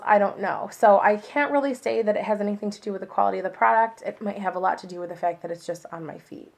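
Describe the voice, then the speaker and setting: Young woman, chatty beauty vlog, rambling, slightly worn voice from a cold. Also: medium pitch. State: recovering from a cold, voice a bit worn. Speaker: beauty vlogger. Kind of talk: casual monthly favorites review.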